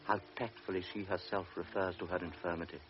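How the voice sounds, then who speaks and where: Deep-voiced male radio drama narrator. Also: fairly even intonation. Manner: measured, present-tense storytelling. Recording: dull old radio recording with faint hiss.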